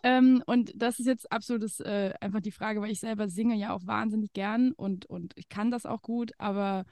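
A woman hosting a podcast and chatting casually with her guest, laughing often and filling pauses with many fillers. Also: medium pitch, steady tone, a little mellow, dry recording, clean studio sound. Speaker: podcast host. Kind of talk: casual interview chat.

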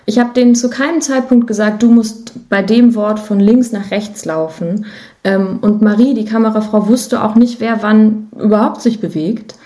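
Casting: female musician as interview guest, warm dark tone, medium pitch, relaxed conversational delivery. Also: normal speed. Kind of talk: casual interview chat.

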